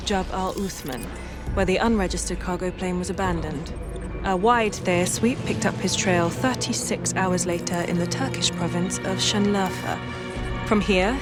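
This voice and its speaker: A woman playing a crisp intelligence officer in a video game, delivering a factual briefing in an efficient, lightly wry way. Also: mid-range voice, lightly acted. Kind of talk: video game cutscene acting, scripted briefing.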